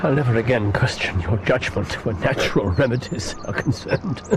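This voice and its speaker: An actor playing an eccentric, proud English gentleman detective in a radio drama, formal and halting in his speech. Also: medium pitch, very noisy, natural voice. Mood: wry, recovering. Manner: formal, theatrical.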